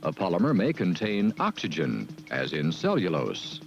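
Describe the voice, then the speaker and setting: A man giving measured, deliberate scripted narration for an educational training film. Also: low voice, huge pitch swings, gravelly. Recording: dull lo-fi film soundtrack with music.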